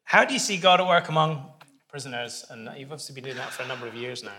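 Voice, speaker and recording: A man's voice: mid-range voice, animated, quick pace, pushed and bright. A man leading a friendly interview in a church, enthusiastic and joking.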